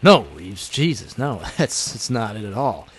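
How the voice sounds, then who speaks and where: An actor playing a young speakeasy proprietor with a secret vigilante life in an old time radio drama, speaking in a hesitant, conversational way. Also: male voice, medium pitch, sing-song, a little slow, husky. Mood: uneasy, concerned. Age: young adult.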